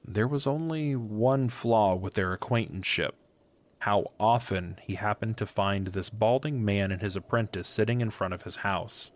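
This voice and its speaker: A man's voice: on the low side, normal speed. A man narrating an audio drama from a script, as a storyteller. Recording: music under the voice.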